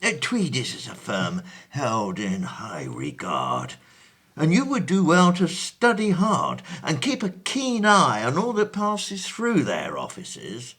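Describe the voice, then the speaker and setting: Man as an elderly-mannered 1820s gentleman, bright lively voice, slow and dithering. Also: on the high side, husky, heavily acted. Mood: courteous. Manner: hesitant, full of ums and uhs, fussy and grand. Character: distinguished 1820s gentleman, bookish, genial and fussy. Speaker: actor. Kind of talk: amateur stage play audio.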